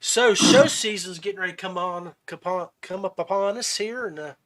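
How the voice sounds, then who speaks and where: A man with a fairly high voice hosts a relaxed fishing-style podcast conversation. He talks in a halting way, doubling back and restarting phrases as he chats with his guest. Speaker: podcast host. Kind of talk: casual chat interview.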